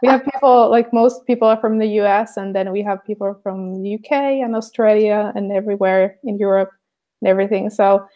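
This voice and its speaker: A woman's voice: mid-range voice, fairly even intonation, brisk, muffled recording. A woman from Finland with a Finnish accent, chatting casually and hesitantly on a livestream. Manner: hesitant, many fillers. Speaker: artist, co-host.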